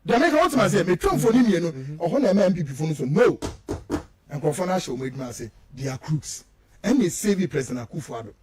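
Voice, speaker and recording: A man's voice: on the high side, very animated, fast, background noise. A Ghanaian man giving emphatic, repetitive political commentary as he switches between two languages, full of rhetorical turns.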